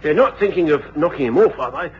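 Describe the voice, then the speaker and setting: An actor playing an uneasy, rough junior British crook in a radio drama, with a colloquial, nervy way of talking. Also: male voice, a little high, lively intonation, fast, lightly acted. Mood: nervy, wary. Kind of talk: old radio drama acting. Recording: dull, hissy old radio recording.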